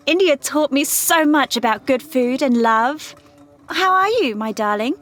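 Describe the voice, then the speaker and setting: A woman playing a loving, hippyish wife in an audio drama, gushing and full of endearments. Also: high voice.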